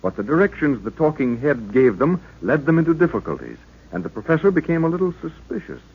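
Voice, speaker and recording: A man's voice: fairly high pitch, sing-song, fast, breathy edge. A man narrating a 1930s radio serial, with an ominous, theatrical delivery as he recaps the story so far. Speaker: announcer. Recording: dull lo-fi old radio recording.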